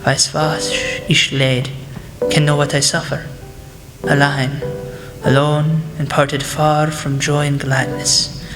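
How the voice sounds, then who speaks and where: A teenage woman student playing a gentle, earnest male professor in a radio play, speaking politely and hesitantly. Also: low voice, little pitch movement, takes it slowly, music in the background. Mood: gentle, thoughtful. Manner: hesitant, polite, formal phrasing. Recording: echoey hall.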